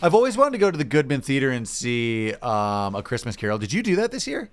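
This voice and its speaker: A man's voice: medium pitch, sing-song, fast talker. An American man co-hosting a podcast in casual group conversation. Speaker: podcast host.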